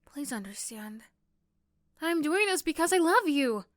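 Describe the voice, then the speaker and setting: A woman's voice: sits high, big pitch swings, unhurried, no echo, studio quality. A woman voicing a possessive yandere girlfriend in an ASMR roleplay, coaxing and pleading with tender pet names.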